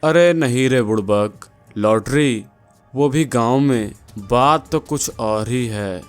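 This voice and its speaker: A man's voice: mid-range voice, slow pace, smooth, faint music behind, natural voice. A man voicing a greedy, scheming rich village moneylender in a moral-story cartoon, bossy and wheedling. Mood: suspicious, bossy.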